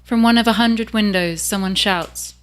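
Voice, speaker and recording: An author reads prose aloud to a live audience. She takes her time over each sentence, and her voice sits at an ordinary middle pitch. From England. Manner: measured and unhurried. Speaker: author reading her own work. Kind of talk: reading fiction aloud.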